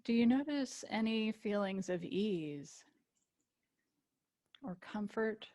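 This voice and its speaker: A woman teaching yoga nidra, guiding a relaxation meditation with gentle invitations and long pauses. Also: mid-range voice, animated.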